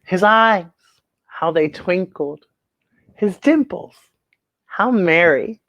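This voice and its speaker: Female kids' story host: swooping expressive read-aloud, slow deliberate delivery, theatrical emphasis, close dry recording. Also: fairly low pitch, dry recording. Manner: theatrical, drawn-out phrasing with emphatic pauses. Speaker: children's story show host. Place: indoors at home.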